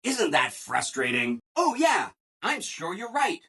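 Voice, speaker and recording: A man's voice: very high voice, wildly swooping pitch, measured pace, gravelly, bright, forceful tone, slightly rough, full character voice. A man voicing a relentlessly cheerful, eager-to-please robot assistant in a video game, with a perky, over-polite manner. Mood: gleeful, upbeat. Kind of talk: video game character dialogue.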